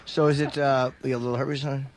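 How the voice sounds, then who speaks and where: A man's voice: medium pitch, little pitch movement, fast talker. A man talks casually as a personality on a comedy radio show. There is noticeable noise behind his loose, joking banter.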